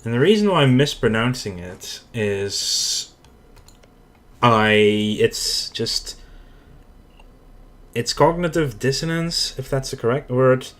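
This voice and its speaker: A man's voice: mid-range voice, expressive, takes it slowly, long pauses, a bit bright. A man with a Dutch accent talking casually through his strategy game as he plays, thinking aloud and correcting himself as he goes. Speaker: gaming channel host. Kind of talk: casual let's play commentary.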